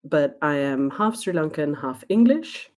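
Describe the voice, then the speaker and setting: A woman with a low, soft and mellow voice, talking as a guest on a podcast. She speaks in a thoughtful, reflective way and takes her time to answer. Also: lively intonation, measured pace. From born in Sweden, raised in Spain. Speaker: guest, diversity trainer. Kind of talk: podcast interview conversation.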